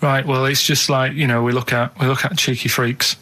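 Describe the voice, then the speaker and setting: A man talking on a comedy radio show at an ordinary middle pitch. He sounds earnest and matter-of-fact, setting things out in short, halting pieces and often checking that he is followed. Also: little pitch movement, pushed and bright. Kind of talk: casual comic radio chat.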